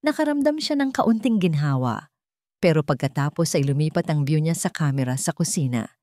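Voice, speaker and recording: A woman's voice: low-pitched, lively intonation, normal speed, warm tone, no room sound. A woman reading a scripted dramatic story as its narrator.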